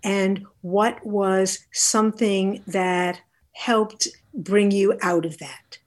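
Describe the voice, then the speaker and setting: A woman speaking as an interview guest who teaches philosophy, telling reflective stories in a measured, deliberate and articulate way. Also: medium pitch, fairly even intonation. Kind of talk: reflective interview conversation, storytelling.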